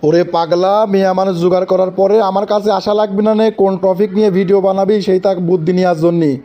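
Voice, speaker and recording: A man acts out a comic cartoon guru who lives in the forest. His voice is notably high, with a Bangladeshi accent. He preaches and chides in an exasperated way, repeating his orders for emphasis. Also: little pitch movement, fairly quick, dark tone, natural voice. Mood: bossy advising. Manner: preachy, chiding, repeats commands. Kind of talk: exaggerated comic cartoon acting.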